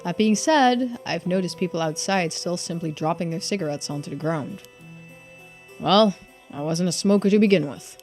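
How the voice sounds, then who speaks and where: A woman's voice: on the low side, moderate pace, a little mellow, faint music behind, natural voice. A woman reading aloud as a cheerful, free-spirited young male traveler in a video game, theatrical and wordy. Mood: musing.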